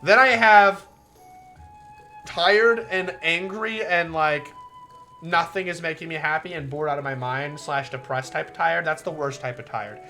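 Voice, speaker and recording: A woman streamer chatting casually while playing a visual novel, rambling and swearing, with a soft, relaxing voice. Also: sits low, fairly even intonation, measured pace, soft background music. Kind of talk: casual livestream commentary over a visual novel.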